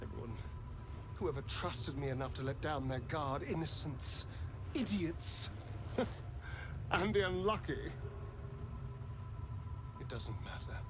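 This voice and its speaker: An actor playing an anguished, sardonic male vampire spawn in a video game, with a theatrical, halting and emphatic delivery. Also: a little high, background noise. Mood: cold, despairing. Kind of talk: dramatic video game acting.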